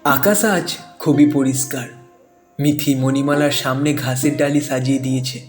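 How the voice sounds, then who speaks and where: A man's voice: medium pitch, steady tone, normal speed. A man narrating a scripted cartoon moral story in an emotive, dramatic way. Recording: music bed behind the voice.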